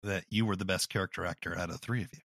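A man hosts a conversational interview. His voice is a little on the low side, and it is captured dry, without room sound. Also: fairly even intonation, racing, a bit bright, no room sound. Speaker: interviewer, host.

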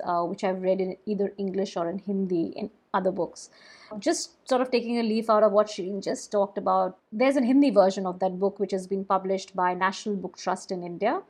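A woman's voice: medium pitch, fairly even intonation, fairly quick, a little mellow. A woman whose first language is Bengali, a storyteller and podcaster talking thoughtfully about books, with small restarts. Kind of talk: casual podcast discussion.

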